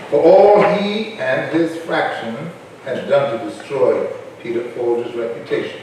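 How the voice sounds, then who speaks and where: A man's voice: mid-range voice, darkish tone, close to an everyday voice. A man playing a solemn narrator of a colonial historical drama in a staged reading, recorded at a distance in an echoey room. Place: live stage in a reverberant room. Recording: very echoey, distant, faint noise.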